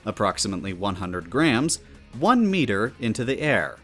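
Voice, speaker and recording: A man's voice: on the low side, sing-song, moderate pace, fairly bright tone. A man voicing a nerdy, unflustered pony scientist in a matter-of-fact, instructive way for a scripted educational dialogue. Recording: music behind the voice.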